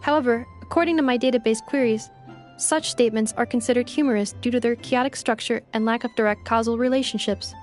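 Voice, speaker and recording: A woman's voice: on the high side, fairly even intonation, fast talker, darkish tone, soft background music, natural voice. A woman voicing a polite robot maid in a video game, formally and literally explaining things in an earnest way. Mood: earnest, explanatory.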